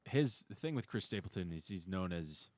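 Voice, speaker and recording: A man chatting casually as a musician guest on a podcast, with a hesitant, halting delivery. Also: sits low, animated, darkish tone, no echo. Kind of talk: casual chat.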